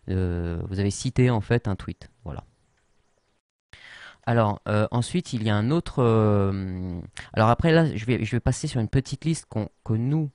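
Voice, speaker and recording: A man's voice: lowish voice, dark tone, clean tone, no echo. A man explaining a social media tool in an unscripted tutorial, full of fillers and restarts. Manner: hesitant, many fillers and restarts. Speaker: tutorial presenter.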